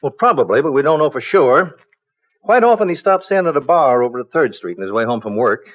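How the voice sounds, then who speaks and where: A man's voice: mid-range voice, lively intonation, a little fast, lightly acted. An actor playing a helpful, matter-of-fact male parole officer in an old radio crime drama. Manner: businesslike, conversational. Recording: dull old radio recording.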